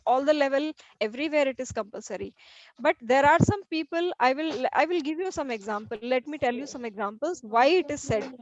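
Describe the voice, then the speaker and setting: A middle-aged woman teacher with an Indian English accent, native Hindi speaker, lecturing a class and often restarting and repeating her phrases. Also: high-pitched. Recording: dull lo-fi dry recording.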